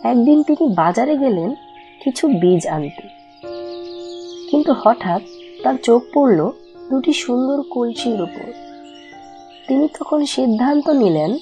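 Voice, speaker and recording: A woman reads out a folk fable as narrator. Her pitch is high and moves a great deal, with clear music and chirping birds behind her.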